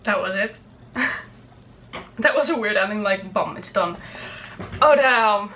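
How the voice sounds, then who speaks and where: A woman's voice: medium pitch, lively intonation, measured pace, pushed and bright, slight background noise. Casual, rambling woman vlogger. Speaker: YouTube reaction video host. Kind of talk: casual chat to viewers.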